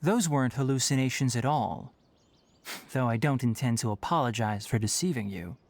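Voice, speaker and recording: A young man playing a composed, scholarly forest ranger in a video game, articulate, measured and matter-of-fact. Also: medium pitch, no echo, lightly acted. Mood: earnest, formal.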